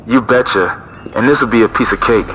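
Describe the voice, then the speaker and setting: A man's voice: medium pitch, normal speed, a bit bright, a little hiss or hum, lightly acted, rough recording. A man voicing a brash, laid-back soldier buddy in a video game, with a casual, cocky, slangy delivery.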